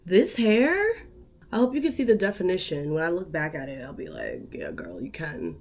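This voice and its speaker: A woman's voice: low voice, huge pitch swings, normal speed. A young woman with a stuffed-up nose chatting casually to her vlog camera at home, playful and full of slang. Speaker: vlogger and podcast host. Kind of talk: casual day-in-the-life vlog chat. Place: apartment living room, indoors. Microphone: camera on a tripod.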